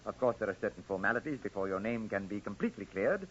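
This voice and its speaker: A man's voice: fairly low pitch, expressive, quick pace, a little hiss or hum, natural voice. A man playing a dignified, kindly older judge with formal, courtly speech in 1940s radio acting style. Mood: dignified, impressed. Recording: muffled 1940s radio recording.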